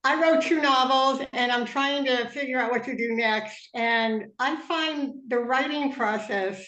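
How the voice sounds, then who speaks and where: A woman from Boston asks a question on a video call, talking in a chatty way as she searches for words. Her voice is high, and the room around her echoes. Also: fairly bright tone. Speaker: audience member.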